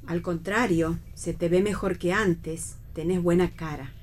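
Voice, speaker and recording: A woman's voice: fairly low pitch, steady tone, measured pace, a little mellow, close to an everyday voice. An actress playing a chatty, naive, kindly middle-aged mother in radio theatre, with an Argentine accent. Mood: concerned.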